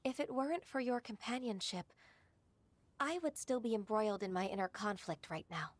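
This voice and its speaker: A woman voicing a gentle, dutiful, long-lived female secretary in a video game, soft, polite and earnest. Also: medium pitch, moderate pace, no room sound, close to an everyday voice. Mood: grateful, shy.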